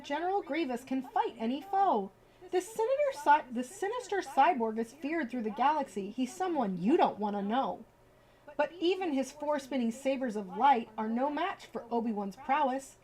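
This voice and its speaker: High-voiced woman, chatty bedtime story streamer. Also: lively intonation, normal speed, darkish tone, low-quality recording. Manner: informal, chatty, addressing viewers directly. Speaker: livestream host. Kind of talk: casual livestream chat and children's bedtime story reading.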